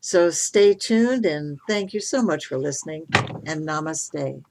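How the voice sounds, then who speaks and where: A woman's voice: low-pitched, expressive, measured pace, a little mellow, slight background noise. A woman hosting an interfaith podcast interview, speaking in an appreciative, affirming manner. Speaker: podcast host.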